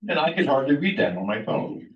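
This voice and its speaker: A man's voice: medium pitch, big pitch swings, a little fast. Older man reading an introduction from afar. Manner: plainspoken, a bit reluctant. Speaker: club member introducing the speaker. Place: meeting room. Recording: distant mic, echoey room, dull tone.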